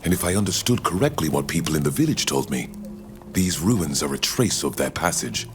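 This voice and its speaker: A very low-pitched, learned gentleman scholar speaks with formal courtesy and careful wording. His intonation moves freely, as he explains things like a well-read visitor from abroad in a fantasy game. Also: sing-song, faint music behind. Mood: scholarly interest. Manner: measured, formal, precise wording. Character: courteous, learned male foreign scholar from the continent. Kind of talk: video game dialogue acting.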